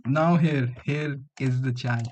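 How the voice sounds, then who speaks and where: A man's voice: medium pitch, steady tone, takes it slowly, darkish tone, slight background noise. A man in his twenties from Delhi with an Indian accent and Hindi as his mother tongue, chatting casually and slangily with livestream viewers. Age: 20s. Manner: slangy, bantering, often says bro. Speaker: livestream host, student coder.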